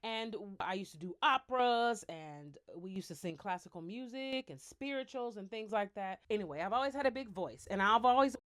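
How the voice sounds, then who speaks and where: A young American woman telling stories casually to her viewers, chatty and animated, full of slang and asides. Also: medium pitch, normal speed, hardly any pauses. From United States. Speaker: vlogger, singer. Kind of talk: casual storytelling to camera.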